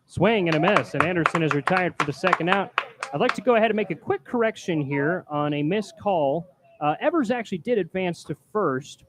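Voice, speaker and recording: A man calling live baseball play-by-play from a booth as announcer, projecting loudly on the calls. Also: high voice, expressive, brisk. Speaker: baseball play-by-play announcer, also doing public address. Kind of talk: live play-by-play sports commentary. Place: press booth at a ballpark. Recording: clear background noise.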